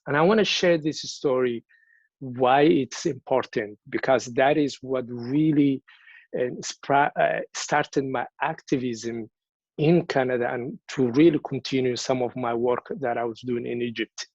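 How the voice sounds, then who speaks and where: A man's voice: medium pitch, a little slow, no room sound, highs cut off. Earnest man telling a personal story. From Somalia. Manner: earnest storytelling, frequent repeated words and restarts. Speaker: invited speaker. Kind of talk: personal speech at a virtual event.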